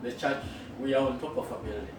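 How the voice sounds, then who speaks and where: A man's voice: mid-range voice, steady tone. Echoey middle-aged Kenyan man recounting earnestly. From western Kenya. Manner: earnest, unhurried, with frequent fillers and restarts. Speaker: school founder and headmaster. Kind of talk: interview answers, storytelling. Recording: echoey room, far from the mic, with noticeable background noise.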